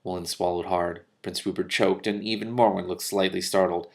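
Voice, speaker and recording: A man reading a novel aloud expressively, with casual, animated commentary and salty asides. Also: low-pitched, moderate pace, vocal fry. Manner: animated, informal, swearing in asides. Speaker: reader and commentator of a fantasy novel.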